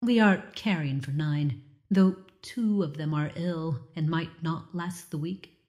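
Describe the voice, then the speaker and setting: Woman playing an earnest healer lady, slow, lowish voice. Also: a little mellow. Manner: earnest, a little breathless.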